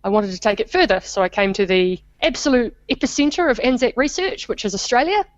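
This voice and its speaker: A woman with a New Zealand accent explains things at a measured pace as an interview guest. Her voice is smooth and clear, heard close and dry, with a slightly muffled, dull tone. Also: a little high, expressive, no room sound. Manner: measured, explanatory, with small restarts. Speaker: academic guest. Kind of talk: interview answers.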